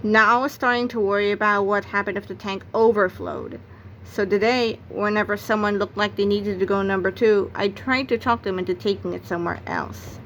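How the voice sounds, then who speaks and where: A woman's voice: medium pitch, fairly even intonation, brisk, a bit bright, lo-fi sound, slight background noise. A woman narrating a read-aloud of a children's comic novel, acting out its exclamations and sound effects. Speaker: book narrator.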